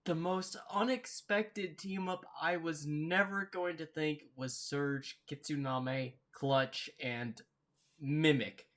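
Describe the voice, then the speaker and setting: A man giving casual reaction commentary as a host, thinking aloud in a halting way. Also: on the high side, fairly even intonation, fairly bright tone.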